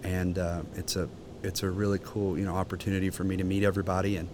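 A man's voice: deep voice, flat delivery, fast, noisy background. A man in his forties, a guest in a casual interview, talking earnestly with many restarts. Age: 40s. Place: outdoor conference grounds.

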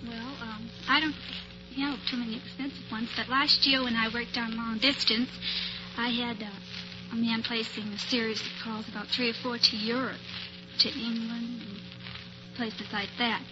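A woman's voice: a little high, little pitch movement, moderate pace, dull audio, music in the background. An American woman being interviewed as a quiz show contestant, giggly and a little hesitant, on an old-time radio recording. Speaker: contestant, telephone operator.

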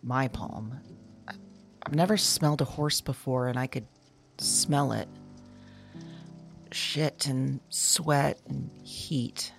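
A woman playing an uneasy, self-conscious dreamer in an audio drama, speaking haltingly into a voice memo and trailing off. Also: rumbling low, vocal fry, soft background music, full character voice. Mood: uneasy, musing.

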